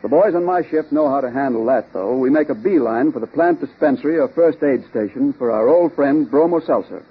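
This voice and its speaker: A man's voice: medium pitch, brisk, hardly any pauses, breathy edge, lightly acted. An actor playing an earnest working-man guard giving a testimonial in an old radio advertisement. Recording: dull old radio recording.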